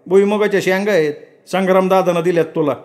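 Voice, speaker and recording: A man voicing a chatty, boastful male farmhand who talks in loud, coaxing banter. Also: sits high, fairly even intonation, fast talker, voice pushed up, close to an everyday voice. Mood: boisterous, coaxing.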